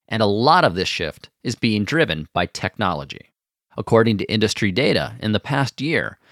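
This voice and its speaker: A man's voice: medium pitch, a bit bright, dry recording, clean studio sound. A man reading a scripted sponsor spot in an upbeat voice. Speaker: ad spokesman. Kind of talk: scripted ad read.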